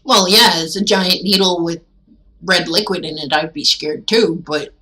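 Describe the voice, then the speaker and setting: A woman's voice: lowish voice, a little slow, bright, forceful tone. A woman streaming a game with casual, teasing, irreverent commentary, chatting as she plays.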